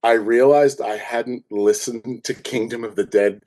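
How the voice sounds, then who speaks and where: A man's voice: medium pitch, fairly even intonation, unhurried, dark tone. Jovial man chatting as podcast guest. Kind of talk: casual chat.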